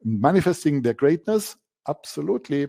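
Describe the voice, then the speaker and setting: German-accented man with a mellow voice. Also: a little high, sing-song, moderate pace, vocal fry. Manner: emphatic, repeats key words, frequent fillers. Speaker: coaching instructor. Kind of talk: masterclass presentation.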